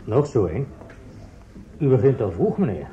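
An actor in a Dutch radio play as a plain-spoken café waiter, deferential and a little hesitant. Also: male voice, medium pitch, very animated, normal speed, natural voice. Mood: mildly disapproving. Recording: thin, phone-like old radio recording, faint noise.

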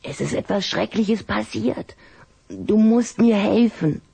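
An actress playing a small boy vampire in a children's radio play, urgent and bossy, with a slightly creaky voice. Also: medium pitch, animated, takes it slowly, warm tone. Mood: desperate, urgent. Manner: dramatic, urgent.

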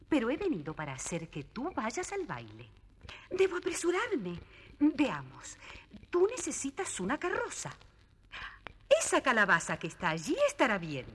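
A woman playing a kindly, reassuring fairy godmother in a children's audio storybook, measured and gently commanding. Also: medium pitch, slight background noise. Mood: gentle, decisive. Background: music.

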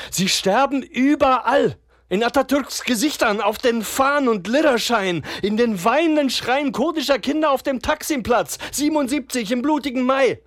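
An actor playing a defiant young leftist activist on trial in a radio drama, sardonic and impassioned. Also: male voice, high-pitched, dry recording. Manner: impassioned, sardonic, swings from muttering to declaiming. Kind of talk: radio drama monologue and retorts.

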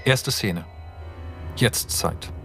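A man narrating a radio play, reading formal literary prose in a precise, measured, essay-like manner. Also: on the low side, lively intonation, gravelly, a bit bright, faint music behind.

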